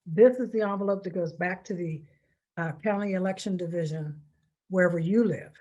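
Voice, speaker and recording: A woman's voice: on the low side, a little fast, warm tone, dull audio. An American woman giving informal, step-by-step public instructions in an emphatic manner, repeating key points to stress them. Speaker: presenter of a voter instruction video.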